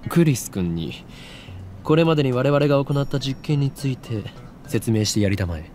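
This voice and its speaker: An actor playing a grandiose young mad scientist in a visual novel, pompous, commanding and theatrical. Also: male voice, mid-range voice, animated, fast, darkish tone, soft background music, natural voice. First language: Japanese.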